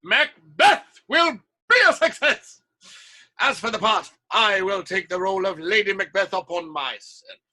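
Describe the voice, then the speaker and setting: Bright, high-voiced, commanding theatre boss played by a man. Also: unhurried, exaggerated voice. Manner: imperious, dramatic. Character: bossy, commanding playwright leading a theatre troupe.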